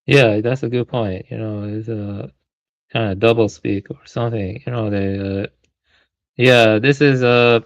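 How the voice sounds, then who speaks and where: A man's voice: on the low side, steady tone, a little slow, no echo, muffled recording. A man in a casual stream discussion who talks hesitantly, thinks aloud and leans on filler words.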